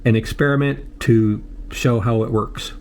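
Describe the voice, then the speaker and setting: An older American man giving a casual, unscripted tutorial in a halting, plain-spoken way, often repeating himself. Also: mid-range voice, steady tone, darkish tone, a little hiss or hum. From United States. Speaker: host of a how-to video channel.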